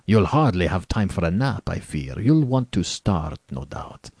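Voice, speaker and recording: A male reader performs an aristocratic hunter-general for a storybook. He is suave and falsely courteous, with a leisurely, condescending delivery. His low-set voice rises and dips extravagantly as he savors his phrases. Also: very animated, a little slow, darkish tone, slightly rough, no echo, full character voice. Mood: businesslike, airy. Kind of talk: dramatic storybook reading.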